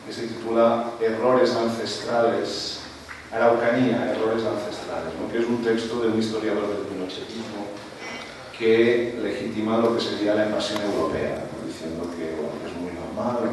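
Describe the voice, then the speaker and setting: Man, non-native speaker, academic panel talk, rhetorical questions, lowish voice, faint background hum. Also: darkish tone, recorded from a distance. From Spain. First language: not Spanish. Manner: lecturing, frequent rhetorical "¿no?" tags. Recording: roomy hall, speaker away from mic, faint ambience.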